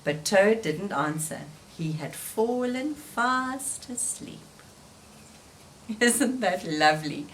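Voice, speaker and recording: A woman reading a children's story aloud in expressive storybook narration, recorded slightly away from the microphone. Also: medium pitch, slow, a little hiss or hum.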